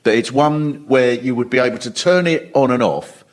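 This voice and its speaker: A man's voice: medium pitch, moderate pace, very noisy. A middle-aged man on a comedy panel, ranting in an exasperated way before a live audience. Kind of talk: comic ranting. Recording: live show, loud audience behind. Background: studio audience.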